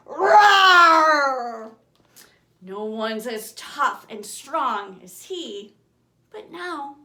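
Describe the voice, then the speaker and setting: A woman reading a rhyming children's bedtime storybook aloud in an expressive, theatrical way, with dramatic shifts in volume. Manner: expressive, theatrical, swinging from booming to hushed whispers; slow, deliberate, rhythmic delivery of rhymes. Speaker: author reading her own picture book aloud. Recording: roomy, echoing room sound.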